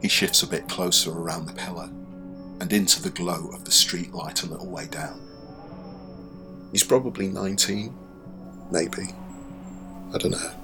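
An actor playing a young man who tells his own story in a confiding, wry radio drama monologue. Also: sits low. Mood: anxious, grave. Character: young man narrating his walk home, wry and anxious. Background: music behind the voice.

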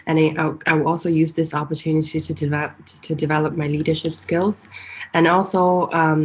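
A woman's voice: sits low, a little hiss or hum, low-quality recording. A young woman from Hong Kong, a politics student, speaking hesitantly with some stumbles. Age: young adult. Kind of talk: casual discussion.

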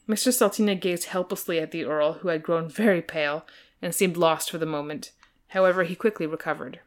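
A woman's voice: fairly low pitch, fairly even intonation, normal speed. A woman podcast host giving an amused, dramatic reading of a comic novel.

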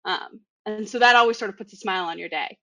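A woman's voice: mid-range voice, fast talker, fairly bright tone, no echo. A young woman from the Boston area with a Boston accent, chatting casually and laughing often. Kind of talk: casual podcast chat.

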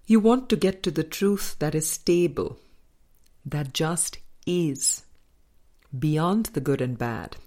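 A woman reading a spiritual book aloud as a scripted audiobook, in measured, deliberate short phrases. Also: deep voice, warm tone. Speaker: audiobook reader.

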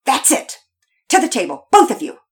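A woman's voice: sits high, wildly swooping pitch, moderate pace, pitched above the performer's own voice. Lady doing a fed-up dad in a kids' audiobook, stern, raised voice, scolding. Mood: stern, impatient. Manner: aggravated, scolding. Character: exasperated, impatient, stern father of young children.

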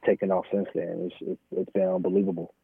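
An American man speaking candidly and informally as an interview guest, halting often and peppering his talk with "man" and "you know". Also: low voice, flat delivery, creaky, slightly rough, dry recording. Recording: thin phone-like line, dry.

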